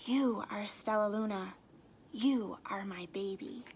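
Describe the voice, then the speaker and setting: A woman voicing a loving mother bat in a storybook, expressive and reassuring. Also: medium pitch, slow pace, slight background noise. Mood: tender, hushed.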